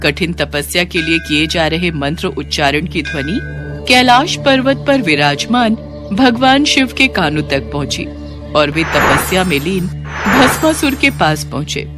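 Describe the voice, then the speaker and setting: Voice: medium pitch, animated, unhurried, over music. A narrator reading a scripted devotional myth story.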